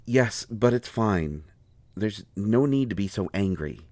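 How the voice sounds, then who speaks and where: A man playing an injured bookish fellow in an audio roleplay, cranky yet gentle, with halting, fussy wording and a pained delivery. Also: fairly low pitch, expressive, no room sound. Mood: soft, apologetic.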